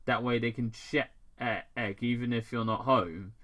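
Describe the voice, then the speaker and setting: A stubborn, folksy small-town man, played by a man reading fan fiction aloud. He sounds casual and wry, with a bright edge and a middling pitch. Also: steady tone, normal speed, close to an everyday voice. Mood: defiant.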